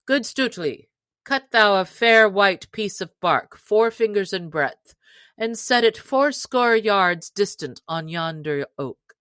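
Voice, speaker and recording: Woman voicing a hearty, unhurried jolly outlaw leader. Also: medium pitch, expressive, fairly bright tone, clean tone, dry recording, clean studio sound, pitched above the performer's own voice. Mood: good-humored, challenging. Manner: hearty, archaic speech. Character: jolly bold young male outlaw leader. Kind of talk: storybook character reading.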